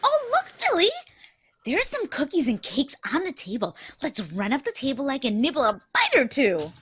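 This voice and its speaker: A woman's voice: wildly swooping pitch, normal speed, a bit bright, full character voice. A woman voicing a curious little girl mouse in a live old-time radio play reading. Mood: eager. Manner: squeaky and eager.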